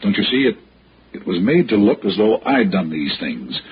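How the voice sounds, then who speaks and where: An American actor playing an anguished, pleading, respectable son in a 1960s radio drama, earnest and halting. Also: male voice, on the low side, big pitch swings. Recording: muffled, hissy old radio broadcast.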